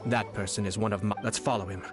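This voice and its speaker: A man acts out a young noble strategist from an anime game. His voice is on the low side, measured and articulate, with a composed, calculating manner, over music. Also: steady tone, lightly acted. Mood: calm, alert. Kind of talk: anime video game voice acting.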